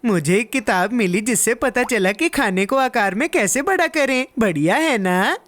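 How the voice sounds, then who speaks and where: A woman voicing an eager, excitable young boy who loves food, with exaggerated expression, in a children's cartoon dub. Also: a little high, moderate pace. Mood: proud, excited.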